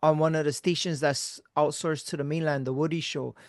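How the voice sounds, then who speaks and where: A man with a slightly high voice talks easily with an old friend on his podcast. He is laid-back and casual, swears now and then, and often lets his sentences fade into quiet mumbles. The sound is close and dry, with no room echo. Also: steady tone, a little fast. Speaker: podcast host.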